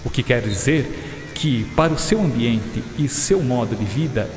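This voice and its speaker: A Brazilian man playing a learned gentleman naturalist who explains things in a measured, didactic way in a children's radio drama. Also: mid-range voice, animated, close to an everyday voice. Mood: patiently explaining. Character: Charles Darwin, learned gentleman naturalist patiently explaining evolution. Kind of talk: radio drama acting for young listeners. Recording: echoey, long hall reverb.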